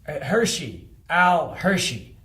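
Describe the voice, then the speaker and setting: A man's voice: fairly high pitch, sing-song, takes it slowly, a bit bright, voice pushed up, full character voice. A man voicing a plain-spoken, polite and slightly tentative visitor in an audiobook. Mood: tentative, restrained.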